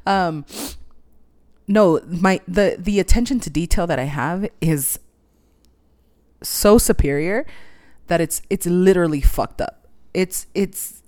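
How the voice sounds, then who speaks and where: A woman's voice: on the low side, slow, long pauses, darkish tone. A young woman chatting casually as a podcast co-host, rambling, teasing and giggling often. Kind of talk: casual banter.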